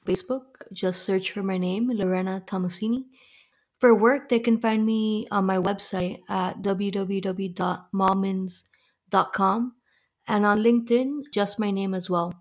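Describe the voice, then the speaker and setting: A woman's voice: mid-range voice, fairly even intonation, a little slow. Chatty woman from Miami. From Miami, Florida. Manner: friendly, chatty with frequent fillers and restarts. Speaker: podcast guest. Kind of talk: casual interview answers.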